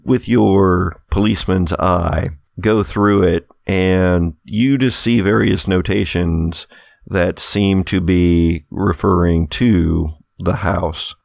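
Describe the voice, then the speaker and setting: Man, low-pitched, unhurried, tabletop game master, horror narration, explanatory and conversational. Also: gravelly, a little mellow, no room sound, clean studio sound.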